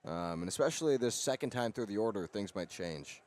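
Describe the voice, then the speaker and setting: A man's voice: fairly low pitch, animated, fairly quick, darkish tone. A man giving baseball color commentary as an analyst alongside a play-by-play announcer.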